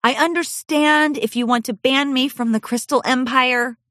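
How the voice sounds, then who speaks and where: A woman's voice: high-pitched, fairly even intonation, moderate pace, fairly bright tone, no echo, studio quality, pitched above the performer's own voice. A woman reading aloud voices a young, lonely filly pony who talks in an earnest, pleading, childlike way. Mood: contrite.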